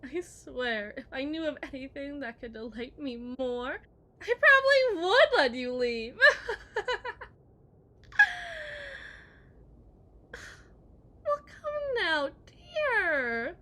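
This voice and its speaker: A woman voicing a possessive, unhinged kidnapper wife in an ASMR roleplay monologue, theatrical and taunting, swinging between hushed and shrill. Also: sing-song, slow, heavily acted. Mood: gleeful, menacing.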